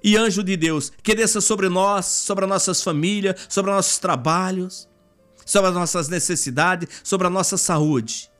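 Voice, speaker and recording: A Brazilian man with a notably high voice leads an extemporaneous prayer. He pleads fervently, punctuating his phrases with repeated invocations. Music plays behind him. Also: measured pace, fairly bright tone.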